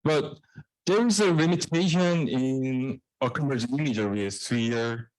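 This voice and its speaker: A man giving a technical research presentation in a halting way, repeating and restarting phrases. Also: mid-range voice, no echo, rough recording. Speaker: researcher presenting own work.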